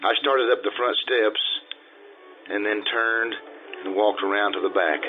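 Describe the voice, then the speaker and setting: An actor playing a vain forty-year-old social climber posing as a gentleman, pompous and fussy, in the theatrical style of old-time radio drama. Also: fairly low pitch, fairly even intonation, unhurried, narrow, tinny audio, faint music behind, natural voice. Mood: reflective narrating.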